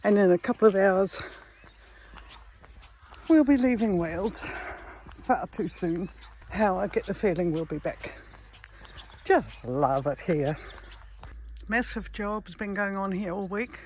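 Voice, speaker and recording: Slow-talking New woman, noisy outdoors. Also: mid-range voice, lively intonation, a little mellow. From New Zealand. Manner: admiring, unhurried, chatting while walking. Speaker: travel vlogger. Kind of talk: casual walking vlog chat. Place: outdoors on a hilly rural road. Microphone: handheld camera while walking. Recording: outdoor handheld recording with ambient noise.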